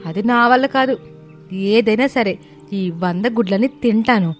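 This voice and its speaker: Woman voicing plaintive high-pitched young daughter-in-law. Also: unhurried, faint music behind, close to an everyday voice. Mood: anxious, determined. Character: Anamika, anxious but stubborn young daughter-in-law. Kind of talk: cartoon moral-story voicing.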